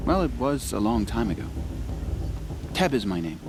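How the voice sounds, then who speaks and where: Gentle young tailor, ambient noise behind. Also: male voice, fairly low pitch, very animated, normal speed. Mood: friendly recognition. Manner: polite, warm, chatty. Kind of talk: video game voice acting.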